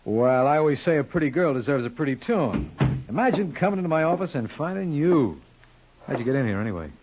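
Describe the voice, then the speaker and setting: An actor playing a wisecracking, flirtatious lawyer with glib, wry banter in a 1950s radio detective drama. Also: male voice, fairly high pitch, very animated, brisk, background noise. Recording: dull old-time radio recording.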